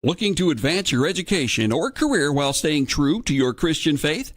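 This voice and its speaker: A man's voice: fairly high pitch, animated, brisk, dry recording, clean studio sound. A man reading a scripted promotional narration as an advertisement announcer.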